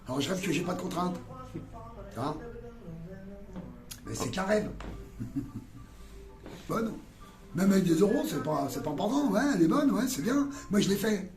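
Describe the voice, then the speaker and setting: A man's voice: high-pitched, expressive, normal speed, long pauses. A middle-aged man talking casually in a street interview, rambling and slangy, with many fillers and musings. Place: outdoors on a town street. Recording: roomy sound with loud music behind.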